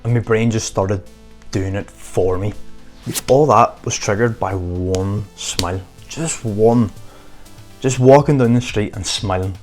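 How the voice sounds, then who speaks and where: A man's voice: on the low side, measured pace, darkish tone, faint music behind. A man giving an earnest motivational talk to camera in short, emphatic phrases. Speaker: motivational vlogger.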